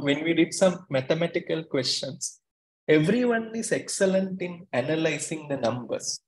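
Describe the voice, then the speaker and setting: A man's voice: on the high side, poor audio. A man tutoring students for an exam in an online class, earnest and repeating words for emphasis. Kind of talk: online exam coaching talk.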